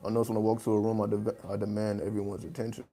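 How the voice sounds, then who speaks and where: A man with a deep voice talking in a laid-back, casual monologue, loose with slang and swearing. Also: monotone, dark tone, a little hiss or hum. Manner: laid-back, profane, streetwise slang, drawn-out phrasing. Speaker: channel host.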